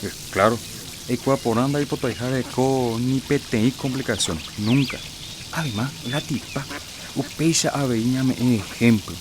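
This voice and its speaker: A man's voice: mid-range voice, a little slow, noisy background, lightly acted. An actor playing a talkative, opinionated village man in a radio drama, chatting in a gossipy way.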